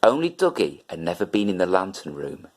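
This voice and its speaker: Very deep, animated male storyteller. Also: brisk. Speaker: audiobook reader. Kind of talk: children's story narration.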